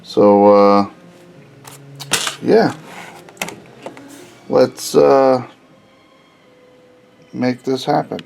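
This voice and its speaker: A man with a scratchy voice giving a relaxed, casual hands-on review of a cheap car stereo, explaining each step with plenty of pauses and "uh"s. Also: mid-range voice, gravelly. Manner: relaxed, unhurried explaining with frequent "uh"s. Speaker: car audio hobbyist reviewer.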